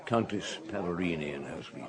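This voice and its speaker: An actor playing a middle-aged police superintendent in a radio drama, calm, courteous and quietly insistent as he questions. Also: sits low, a little fast, crowd noise, lightly acted. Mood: brisk, courteous.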